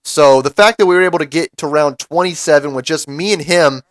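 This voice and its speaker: A young man with a raspy voice from a cold, giving casual strategy commentary over gameplay to his viewers. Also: a little high, brisk, dry recording. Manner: casual, explanatory, addresses viewers as guys. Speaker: gaming YouTuber.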